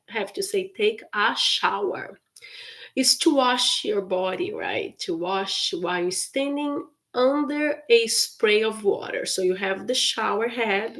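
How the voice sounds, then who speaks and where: A woman teaches everyday English on a live stream. She speaks very slowly, repeats each phrase with care, and often laughs lightly between lines. Her voice sits at a medium pitch. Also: fairly bright tone. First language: Portuguese. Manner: slow, careful repetition of phrases with frequent laughs.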